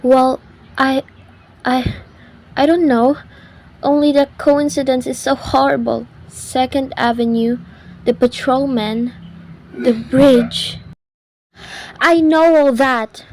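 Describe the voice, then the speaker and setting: A woman's voice: high-pitched, steady tone, takes it slowly, long pauses, darkish tone, exaggerated voice, low-quality recording. A woman playing an anxious, demanding invalid housewife on the phone in an old-time radio thriller style. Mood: rattled, pleading. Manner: fretful, insistent, haughty. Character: anxious, demanding, self-pitying invalid housewife phoning the police.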